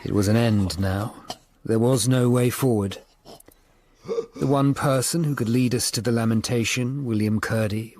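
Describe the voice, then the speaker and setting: An actor playing an earnest, dutiful Tudor lawyer-detective who narrates his case in a radio drama, with an English accent and a measured, articulate delivery. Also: fairly low pitch, darkish tone. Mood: sombre narration. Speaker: narrator and lead character. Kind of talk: radio drama acting and first-person narration.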